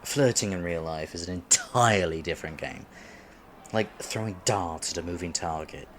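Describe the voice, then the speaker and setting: A man's voice: sits low, normal speed, a little hiss or hum, natural voice. A man acting in a roleplay audio drama as an awkward, geeky man on a blind date, stammering, flustered and self-deprecating. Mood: playful, shy.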